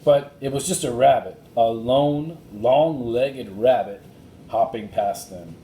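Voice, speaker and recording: A man reading a children's storybook aloud in a deliberate, expressive way. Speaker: reader of a children's chapter book. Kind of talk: scripted storybook narration, read aloud. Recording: roomy echo, faint noise and rumble.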